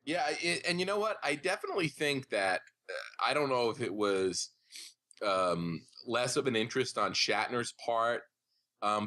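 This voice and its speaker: Male co-host, bright and animated, casual agreeable commentary. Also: lowish voice. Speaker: podcast co-host.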